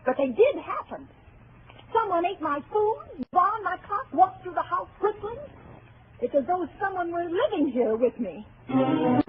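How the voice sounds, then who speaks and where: An actress playing an irritable, frightened, haughty spinster who lives alone, with sharp, sarcastic, precise diction in a 1940s radio suspense style. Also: female voice, on the high side, close to an everyday voice. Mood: fearful narration. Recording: tinny, noisy 1940s radio broadcast.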